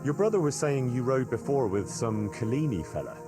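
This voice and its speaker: A man's voice: mid-range voice, sing-song, fairly quick, over music, natural voice. A man playing an earnest, inquisitive young man-at-arms in a video game, polite and plain-spoken. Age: young adult. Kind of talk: dramatic video game cutscene dialogue.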